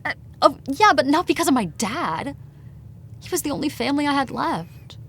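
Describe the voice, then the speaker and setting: An actress playing an anxious, grieving young woman in an audio drama, halting and incredulous, often sighing. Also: high-pitched, big pitch swings, fairly bright tone, slight background noise, exaggerated voice. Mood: subdued, grieving. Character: anxious, grieving young woman, defensive about her dead father.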